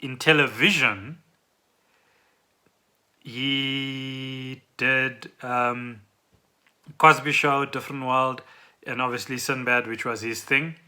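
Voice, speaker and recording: A man with a South African accent and a very bright, forward voice. He talks casually and off the cuff, as a podcast co-host thinking aloud. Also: medium pitch, fairly even intonation, slow, pauses often. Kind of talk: casual unscripted podcast chat.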